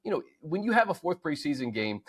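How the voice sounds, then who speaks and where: American male sports podcast host, slightly high voice, casual conversational delivery. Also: very animated, a little fast, breathy edge.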